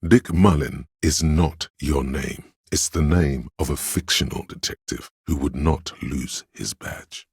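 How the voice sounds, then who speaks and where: A pedantic, all-knowing inner voice from a video game. It is extremely low and dry in the recording, with no room around it. The delivery is measured and scholarly, laying out facts like scripted lore. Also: male voice, breathy edge, no room sound, heavily acted. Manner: measured, learned, didactic. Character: pedantic, erudite inner voice of encyclopedic knowledge. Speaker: game character voice, narrator of lore. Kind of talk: scripted narration, delivering trivia.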